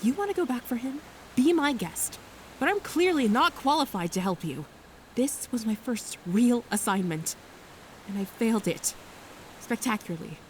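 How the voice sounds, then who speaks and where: A woman's voice: a little high, animated, moderate pace, a little hiss or hum, lightly acted. A woman acting a young, dutiful but shaken navy ensign in an audio drama, with clipped military formality. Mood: defeated. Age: young adult.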